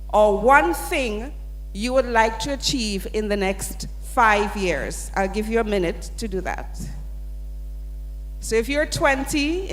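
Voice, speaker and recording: A woman, speaking as a sponsor's representative and leading an audience through an interactive exercise in an upbeat, coaxing way. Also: a little high. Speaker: sponsor representative presenting at a student event. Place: large hall. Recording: hall reverb.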